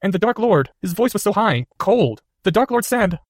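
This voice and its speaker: A man's voice: high voice, very animated, normal speed, no echo, clean studio sound, voice pushed up. A man reading the part of a clever young boy wizard, talking in an earnest, urgent way. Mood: shaken.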